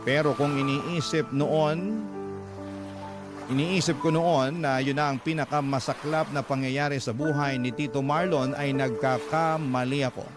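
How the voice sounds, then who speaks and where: A man's voice: medium pitch, fairly even intonation, unhurried, over music. A man giving earnest, sorrowful scripted narration of a first-person radio drama story. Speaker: story narrator reading a letter sender's account.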